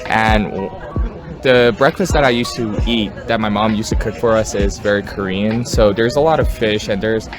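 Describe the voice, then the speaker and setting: A young man casually answering street interview questions outdoors on a campus, relaxed with fillers. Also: lowish voice, normal speed, over music.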